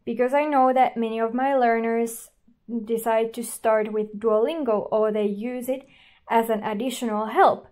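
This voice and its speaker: A woman's voice: a little high, steady tone, normal speed, darkish tone. A woman with a Finnish accent, a native Finnish speaker and teacher, reviewing a language app and explaining as she goes. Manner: explains and comments while trying the app. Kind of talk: app review, talking to camera.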